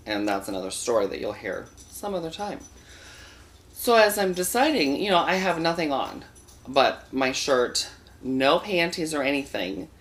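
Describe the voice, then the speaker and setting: A man's voice: mid-range voice, a little hiss or hum. A man hosting a comic storytelling show from a studio, telling a bawdy tale in a campy, sassy way and addressing viewers as boys and girls.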